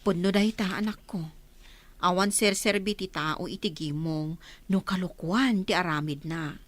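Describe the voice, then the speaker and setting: A woman playing a gentle, long-suffering village mother in a radio comedy-drama, humble, pleading and motherly. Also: fairly low pitch, moderate pace, dry recording, lightly acted. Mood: motherly, concerned.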